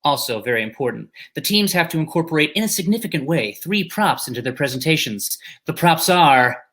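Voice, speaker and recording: A man's voice: a little high, lively intonation, fairly quick, fairly bright tone. A man presenting a competition show in a scripted, theatrical, mock-formal and wordy manner.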